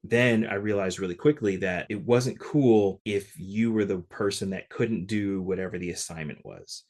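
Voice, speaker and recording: A man from Connecticut, a guest telling stories about his life in a relaxed, conversational way, with many casual fillers. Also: fairly low pitch, moderate pace. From Connecticut, USA. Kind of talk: casual interview storytelling.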